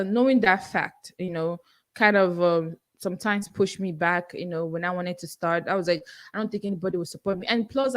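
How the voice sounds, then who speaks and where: A young woman with a Nigerian accent hosting her own podcast, answering listener questions in an earnest, self-encouraging way with frequent fillers. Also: mid-range voice. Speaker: podcast host. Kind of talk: solo livestream Q&A.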